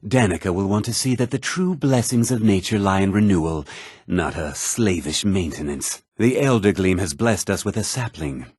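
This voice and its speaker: A man's voice: deep voice, lively intonation, normal speed, a little mellow, slightly rough, dry recording. A man playing a devout, earnest pilgrim who worships nature, speaking florid, theatrical video game dialogue. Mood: hopeful, gentle.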